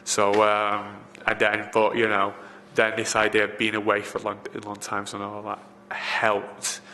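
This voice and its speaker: Man, low flat voice, rambling with fillers, roomy reverb, faint hiss. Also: a little slow. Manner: rambling, frequent fillers and restarts. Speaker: film location assistant. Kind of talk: casual interview answers. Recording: reverberant room, faint background noise.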